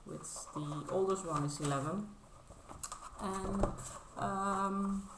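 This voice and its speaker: A woman's voice: mid-range voice, takes it slowly, roomy sound, background noise. A Dutch woman in her thirties, a native Dutch speaker talking casually in English on her crafting vlog, hesitant and full of fillers and restarts, modest and apologetic. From Netherlands. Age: 30s. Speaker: crafting vlogger. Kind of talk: casual show-and-tell vlog.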